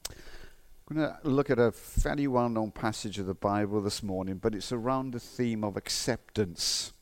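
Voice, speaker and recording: A man's voice: on the low side, normal speed. Middle-aged male preacher, faded Welsh accent, conversational sermon delivery. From Wales. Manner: conversational, with frequent restarts and fillers. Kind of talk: sermon with personal stories and Bible reading.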